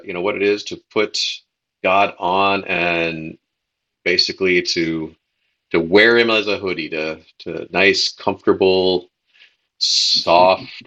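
An American man hosting a religious podcast in a casual, halting way, often repeating words as he goes. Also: low-pitched, pushed and bright, muffled recording. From United States. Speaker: podcast host.

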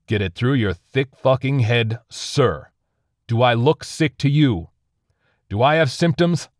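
A man's voice: medium pitch, lively intonation, unhurried, no echo, clean studio sound, voice pushed up, lightly acted. A man voicing a defiant, wounded soldier who argues urgently and pleads with his comrades.

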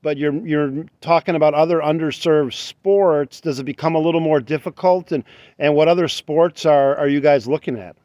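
A man hosting an interview, asking halting questions with many fillers. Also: fairly high pitch, a little mellow, dry recording, studio quality. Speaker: interviewer host.

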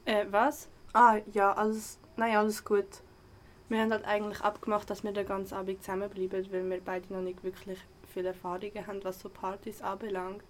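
A young woman with a Swiss German accent playing a kind, apologetic partygoer in an audio drama, speaking gently and hesitantly. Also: medium pitch, flat delivery, fairly quick, slight background noise, natural voice. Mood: flustered, let down. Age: young adult. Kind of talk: amateur audio drama dialogue. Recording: music underneath.

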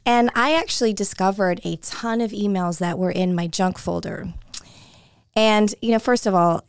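A woman hosting a solo podcast in a chatty, conversational way, her voice hoarse and coming and going. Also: mid-range voice, normal speed, dry recording, clean studio sound. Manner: chatty and conversational, with frequent restarts. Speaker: podcast host. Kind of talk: casual solo podcast talk.

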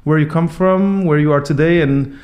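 A man's voice: on the high side, moderate pace, darkish tone, clean tone, no room sound. A man hosting a podcast, reading a scripted intro and then chatting with his guest, stumbling a little when he speaks off the cuff. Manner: upbeat, stumbles when ad-libbing. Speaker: podcast host. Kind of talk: scripted intro and casual interview.

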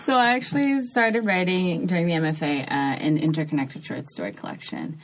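A woman in her thirties from New York talks about her writing as a podcast guest. Her voice sits low. She speaks thoughtfully and often restarts her sentences. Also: animated, a little fast, background noise. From New York, USA. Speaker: author guest. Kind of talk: podcast interview.

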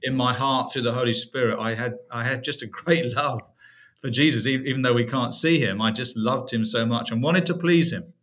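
A man's voice: mid-range voice, moderate pace, fairly bright tone. A middle-aged British man from the UK, a podcast guest telling his faith story in a reflective way. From United Kingdom. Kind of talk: personal testimony in an interview.

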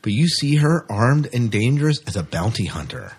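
A man's voice: medium pitch, sing-song, moderate pace, a little mellow, breathy edge. A man hosting a podcast in casual banter, chatty, jokey and enthusiastic, often repeating himself for emphasis. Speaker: podcast host.

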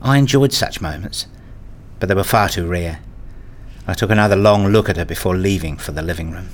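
A man reading a scripted first-person story as narrator, in a measured, introspective manner. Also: sits low.

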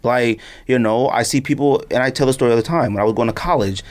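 A man's voice: medium pitch, fast. A middle-aged man hosting a podcast, talking casually and emphatically, rambling and repeating himself to stress his opinions. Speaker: sneaker podcast host. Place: podcast studio.

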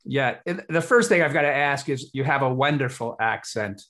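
A man from England hosting a casual interview, with halting phrasing that circles around its point. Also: mid-range voice, a bit bright. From born in Hull, Yorkshire, England. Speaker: podcast host.

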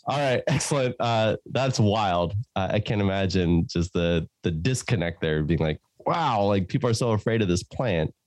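A man's voice: lowish voice, animated, moderate pace, few pauses, darkish tone, no echo. Jokey easygoing male host, dry sound. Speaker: podcast host. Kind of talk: casual interview hosting.

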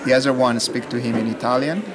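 A man talks haltingly in English, his after Amharic. He fills pauses with soft "uh"s and keeps his sentences short and simple. Loud festival crowd chatter fills the background of this indoor hall recording. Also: mid-range voice, steady tone. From Ethiopia. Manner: hesitant, many "uh" fillers, simple non-native grammar. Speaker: interviewed parent. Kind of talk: casual interview answers. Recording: loud crowd noise behind.

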